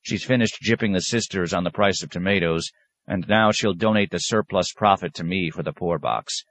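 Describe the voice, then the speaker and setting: A man's voice: sits low, normal speed, fairly bright tone. A man voicing a middle-aged abbot with wry, resigned humor.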